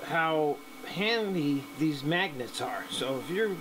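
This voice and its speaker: A middle-aged man walks through a welding project in his home workshop, speaking in a relaxed, plain way with ums and restarts. His voice sits a little high for a man. A light hiss runs under the recording. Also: takes it slowly. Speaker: hobby welder teaching a project. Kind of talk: casual workshop tutorial.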